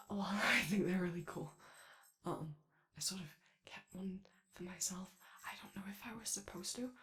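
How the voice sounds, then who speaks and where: A woman voicing an anxious, eager and gentle robot daycare attendant in an ASMR roleplay, speaking in a hesitant, rambling way. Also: on the low side. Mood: sheepish, eager. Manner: hesitant, rambling, halting speech. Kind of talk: improvised-sounding ASMR character roleplay.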